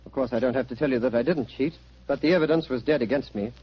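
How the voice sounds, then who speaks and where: An actor playing a troubled, upright young army captain in a 1940s radio drama, earnest and courteous. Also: male voice, mid-range voice, quick pace, husky, close to an everyday voice. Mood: grim, polite. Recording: dull 1940s radio recording.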